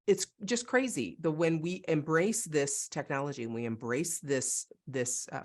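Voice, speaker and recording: A woman from Northern California hosting a podcast from her home, chatting with enthusiasm. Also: very deep voice. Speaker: podcast host. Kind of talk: casual interview chat.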